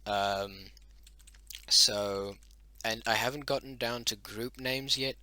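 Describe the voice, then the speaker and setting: A man's voice: mid-range voice, takes it slowly, pushed and bright, no room sound. A man whose first language is Dutch-derived, explaining his invented language in a casual spoken lesson, hesitant with many ums and self-corrections.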